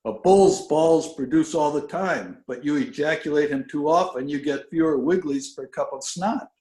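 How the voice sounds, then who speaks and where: A man's voice: on the high side, moderate pace, a little mellow, lightly acted. A man reading a story aloud, voicing a crude, boastful, folksy male bull breeder. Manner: blustering, folksy. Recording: roomy, echoing video call audio.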